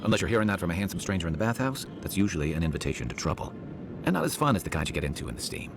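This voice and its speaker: A man's voice: sits low, big pitch swings, very fast, vocal fry, music in the background, exaggerated voice. A man voicing a sarcastic adventurer companion in a video game, with dry, flippant quips. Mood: dry, amused.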